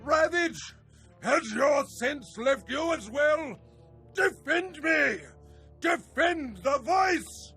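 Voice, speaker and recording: An actor playing an arrogant, wrathful dark lord in a video game, declaiming in a pompous, theatrical, menacing way with a gravelly, forceful voice. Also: male voice, very high-pitched, expressive, drawn-out words, exaggerated voice. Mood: enraged, desperate. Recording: music under the voice.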